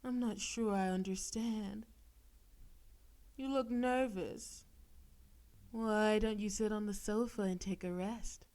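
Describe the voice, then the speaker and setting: A woman's voice: medium pitch, fairly even intonation, darkish tone, close to an everyday voice. A woman playing a possessive, demon-possessed girlfriend in an intimate audio roleplay, sweetly condescending and teasing with veiled menace. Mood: coaxing, feigned sweetness. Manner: slow, coaxing, teasing, with pet names and veiled threats.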